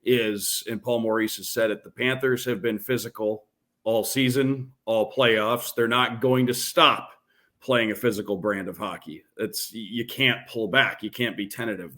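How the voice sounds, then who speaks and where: A man's voice: on the low side, a bit bright. A man who works as a radio play-by-play broadcaster, talking as a podcast guest, fluent and announcer-like. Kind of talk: casual podcast interview.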